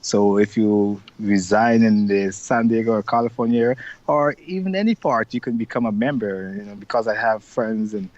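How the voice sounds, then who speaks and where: A man's voice: lowish voice, steady tone, moderate pace, darkish tone, no echo. Upbeat Guyanese man on a dull, dry call. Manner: upbeat, rambling, many "you know" fillers. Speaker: carnival organizer, interview guest. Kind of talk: casual interview over video call.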